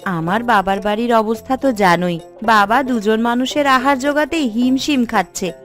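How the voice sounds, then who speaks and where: A woman voicing an emphatic, proud Bengali village housewife in an animated moral story. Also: on the high side, moderate pace, soft background music, close to an everyday voice. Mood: resigned.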